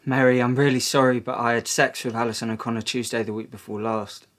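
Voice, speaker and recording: A guilty young man owns up to an affair, as an actor plays him. His voice sits at a middling pitch and he mumbles and dodges. He sounds sheepish and pleading. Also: little pitch movement, quick pace, fairly bright tone, close to an everyday voice. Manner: awkward, mumbling, evasive. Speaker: drama graduate performing a scene. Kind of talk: stage scene acting.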